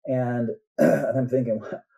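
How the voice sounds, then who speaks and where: Older man, very dark mellow voice. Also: medium pitch, fairly even intonation, unhurried, gravelly. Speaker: novelist guest on a podcast. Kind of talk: reflective interview conversation. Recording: dull, muffled lo-fi sound.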